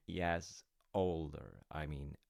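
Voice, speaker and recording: Very deep, gravelly, slow-talking dad played by a man. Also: steady tone, no room sound, clean studio sound, heavily acted. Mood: musing, reassuring. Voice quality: low, gravelly. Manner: unhurried, musing, gently wry. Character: easygoing older dad telling his child a folktale. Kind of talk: intimate roleplay storytelling with casual rambling asides.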